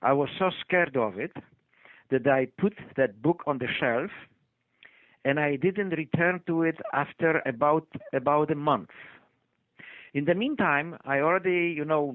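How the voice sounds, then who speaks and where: A man's voice: on the high side, unhurried, no echo. Older Polish-accented man on a phone line. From Poland. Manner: hesitant, many fillers and restarts. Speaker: interview guest, doctor. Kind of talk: conversational interview storytelling. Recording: tinny phone-line audio, dry.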